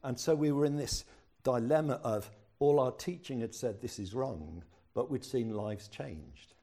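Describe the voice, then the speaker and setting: A man's voice: medium pitch, a little slow, warm tone. An older man, a pastor preaching a sermon in a chatty storytelling way with self-deprecating humor.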